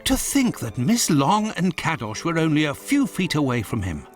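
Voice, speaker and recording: Earnest British doctor, lively intonation. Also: male voice, fairly high pitch, normal speed, soft background music. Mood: pondering. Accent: British English. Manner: earnest, polite, inquiring. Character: earnest, concerned male Victorian English doctor, detective's companion. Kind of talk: dramatic video game dialogue.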